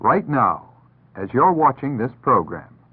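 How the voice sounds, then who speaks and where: American male announcer, 1960s civil defense film style. Measured, instructive, animated intonation, narrow phone-like vintage sound. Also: mid-range voice, breathy edge. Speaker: public service announcer. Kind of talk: 1960s civil defense PSA scripted narration. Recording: tinny band-limited 1960s broadcast audio.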